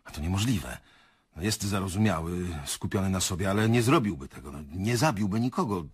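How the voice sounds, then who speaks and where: Older stage director, deep husky man, hesitant, earnest, confessional radio drama. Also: expressive, fairly quick, no echo, clean studio sound. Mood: protesting. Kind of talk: radio play acting.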